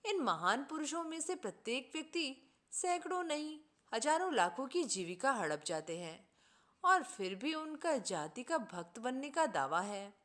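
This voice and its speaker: Indignant idealist reformer read by a female narrator, storybook dialogue, oratorical and formal, highly varied intonation, higher register. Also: very animated, measured pace, fairly bright tone, full character voice. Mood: earnest, indignant. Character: idealistic, indignant man preaching justice and selfless service.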